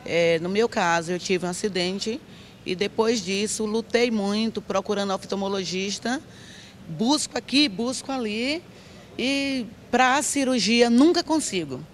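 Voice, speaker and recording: A woman's voice: medium pitch, unhurried. Bahian woman speaking over outdoor background noise. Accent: Bahian Brazilian. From Brazil, interior of Bahia, raised in Salvador. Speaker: member of the public, community leader and church president. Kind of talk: spontaneous testimony in a street interview. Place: outdoor public square, on a small stage. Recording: outdoor TV recording with street ambience.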